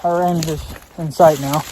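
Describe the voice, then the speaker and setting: High-pitched man, American accent, folksy, casual vlog rambling, outdoors, faint background noise. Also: steady tone, unhurried, mellow, poor audio. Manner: folksy, musing aloud, mild swearing. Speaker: vlogger. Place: outdoors in rural woods.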